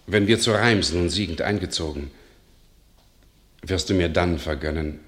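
A man playing a deep-voiced devoted knight. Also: moderate pace, close to an everyday voice. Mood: earnest. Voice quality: earnest, grave. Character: devoted noble knight, earnest suitor. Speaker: actor in a radio play. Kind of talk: classic verse radio drama.

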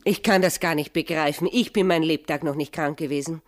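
An actress playing a proud, hardy, self-assured woman in a radio drama, brisk and unflappable. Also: deep voice, natural voice. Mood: cheerfully confident. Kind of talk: radio play acting.

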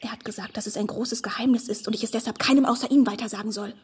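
A wide-eyed, naive young woman of a period radio drama, chatty and confiding. Her voice is fairly high and extremely breathy, often close to a whisper as she gushes. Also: steady tone, rapid-fire. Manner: gushing, confiding. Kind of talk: radio drama acting of a literary classic.

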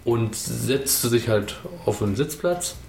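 A man's voice: medium pitch, expressive, slow, vocal fry, darkish tone, slight background noise. A man co-hosting a podcast, telling anecdotes in a casual, rambling, conversational way. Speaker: podcast co-host. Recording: roomy sound, faint music.

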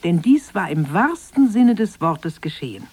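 Female storyteller for children's audio drama: lowish, expressive, dry studio sound. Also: measured pace, slightly rough, no room sound. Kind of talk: scripted children's radio play narration.

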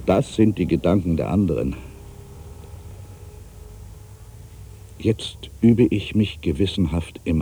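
Deep, husky, brooding ageing writer. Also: male voice, mellow, full character voice. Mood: brooding, bitter. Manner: brooding, self-questioning, swinging from mutters to outbursts. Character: ageing solitary male writer, proud, wry, disillusioned. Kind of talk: literary dramatic monologue, theatrical reading.

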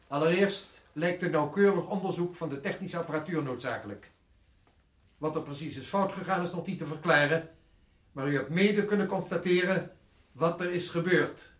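A man's voice: on the high side, a bit bright, close to an everyday voice. A man acting in a radio drama as a stern prison director with a formal, commanding manner. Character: stern authoritative male prison director overseeing an execution. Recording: echoey room, distant from the mic.

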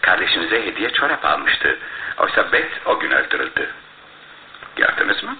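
A shrewd, confident sleuth in a radio play. His low voice leaps about with theatrical emphasis and thoughtful pauses. The sound is roomy and phone-thin. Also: very animated. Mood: excited, deducing. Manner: dramatic, emphatic, with pondering pauses. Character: shrewd, theatrical male detective, probing and self-assured. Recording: roomy, tinny radio broadcast with faint noise.

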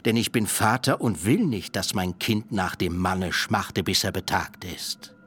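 Ein Schauspieler spielt in einem Hörspiel einen gerissenen, strengen alten Vater, der hart und belehrend verhandelt. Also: male voice, sits low, fairly bright tone, slightly rough, heavily acted. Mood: stern bargaining. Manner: measured, lecturing, haggling. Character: shrewd stern old father bargaining hard. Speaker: actor in a radio play. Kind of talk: literary radio drama acting.

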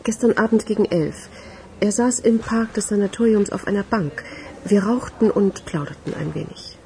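A woman's voice: medium pitch, moderate pace, dark tone, slight background noise, close to an everyday voice. An actress playing a dryly witty woman police investigator of about forty in a German radio crime drama, composed and ironic.

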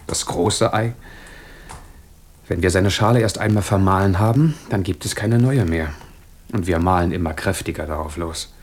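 A man's voice: sits low, darkish tone, slightly rough. A man playing a musing, teasing intellectual in an intimate radio drama dialogue, pensive and playful by turns. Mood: philosophical.

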